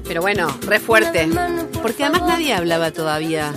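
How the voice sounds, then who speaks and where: A woman with a Rioplatense Argentine accent hosting a radio show, playful and quick to laugh as she wanders off topic. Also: on the low side, big pitch swings, over loud music. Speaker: radio host. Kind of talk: joking radio chat.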